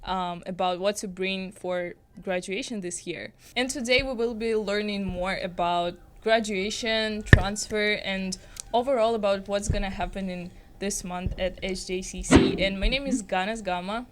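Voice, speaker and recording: Woman, podcast host, segment introduction, medium pitch, some background noise. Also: steady tone, moderate pace.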